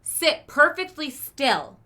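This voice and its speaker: Strict witchy schoolmistress , acted by a female storyteller; unpleasant, forceful, snapping and commanding, storybook villain. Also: high voice, very animated, slow pace, pitched above the performer's own voice, exaggerated voice. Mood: stern, commanding. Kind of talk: children's storybook read-aloud.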